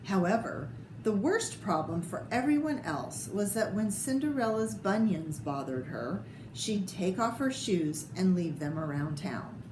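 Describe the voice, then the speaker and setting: A woman reading a children's picture book aloud with expressive, theatrical delivery and short asides about the pictures. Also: medium pitch, measured pace, hardly any pauses. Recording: echoey room with clear background noise.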